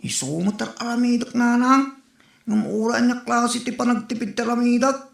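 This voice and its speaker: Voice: medium pitch, normal speed, gravelly, natural voice. A performer playing a pleading, cash-strapped schoolboy son in a comedy audio drama. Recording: echoey, roomy sound.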